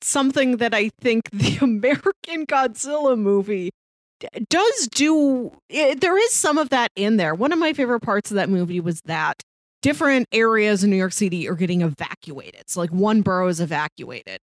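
A woman's voice: mid-range voice, expressive, moderate pace, no room sound. A woman chatting casually and enthusiastically about films as a podcast co-host. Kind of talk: casual film discussion chat.

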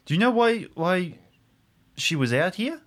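A man's voice: high-pitched, big pitch swings, a little slow, pitched above the performer's own voice. A man playing a rattled, insistent new park ranger in an improvised audio drama, questioning and repeating himself. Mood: agitated, exasperated.

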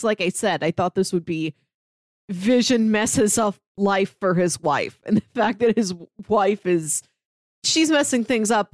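A woman's voice: mid-range voice, no echo, studio quality. A woman co-hosting a podcast, chatting casually as she talks through a story. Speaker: podcast co-host. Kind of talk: casual chat reviewing a comic book.

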